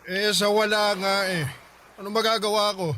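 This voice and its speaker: An irritable, hard-drinking father in a radio drama, grumbling and argumentative. His voice is pitched high, with faint noise around it. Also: steady tone, moderate pace, bright, forceful tone. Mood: irritated. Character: irritable, heavy-drinking father coming home hungry. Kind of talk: Tagalog radio drama acting.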